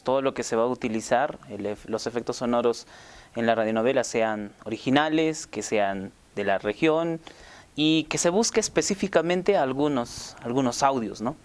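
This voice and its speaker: A man casually recounting production work, informal and circling back over his words. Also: mid-range voice. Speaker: radio drama sound production team member. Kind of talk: casual recollection to camera.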